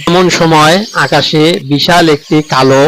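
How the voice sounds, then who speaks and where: A man tells a children's cartoon story as the narrator. His voice sits a bit high, with faint birdsong behind it. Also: fairly even intonation, measured pace, fairly bright tone, slight background noise, rough recording.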